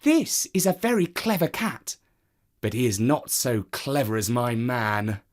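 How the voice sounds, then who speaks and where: A storyteller voicing a clever, excitable cave woman in a children's tale, with an exclamatory delivery. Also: medium pitch, unhurried, close to an everyday voice. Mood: admiring.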